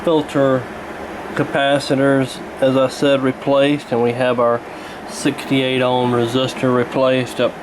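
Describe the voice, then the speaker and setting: Slow-talking man, casual repair narration. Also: medium pitch, completely flat delivery, background noise. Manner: unhurried, halting, matter-of-fact. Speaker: radio repair hobbyist presenting.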